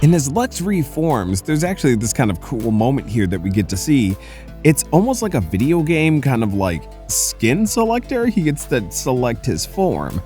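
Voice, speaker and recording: A man hosting a casual commentary recap, telling the story in an animated, conversational way. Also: mid-range voice, moderate pace. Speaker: comics recap host. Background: music.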